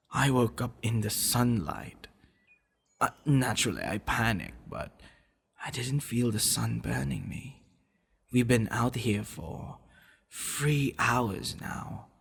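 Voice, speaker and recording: A man playing a doting, possessive vampire lover in an ASMR roleplay, talking tenderly and teasingly in a husky, gravelly voice. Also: on the low side, full character voice. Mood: earnest wonder. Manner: tender, soothing, teasing, intimate. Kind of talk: ASMR boyfriend roleplay acting.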